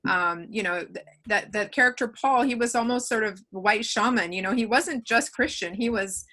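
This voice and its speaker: A woman's voice: fairly high pitch, normal speed, pushed and bright. A woman speaking thoughtfully on a panel discussion, with some fillers. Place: video call.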